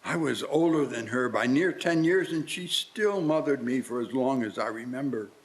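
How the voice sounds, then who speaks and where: An actor playing an older, miserly uncle in a live radio-play style reading, halting and questioning as he looks on bewildered. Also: medium pitch, expressive, lightly acted, low-quality recording. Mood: subdued, wistful.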